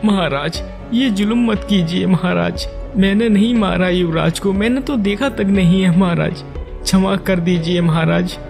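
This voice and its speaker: A man's voice: high voice, moderate pace, creaky. A man voicing a wronged, pious priest in a cartoon story, with a plaintive, dramatic delivery. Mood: pleading. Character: wronged pious Brahmin priest, pleading innocence. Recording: music behind the voice.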